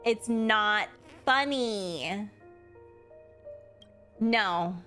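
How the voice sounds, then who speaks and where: A woman game streamer doing live reaction commentary, excitable and given to dramatic exclamations. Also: medium pitch, extremely slow, soft background music.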